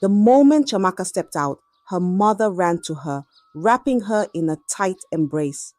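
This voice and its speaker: A woman telling a dramatic scripted story in an expressive, measured and suspenseful way. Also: lowish voice, dry recording.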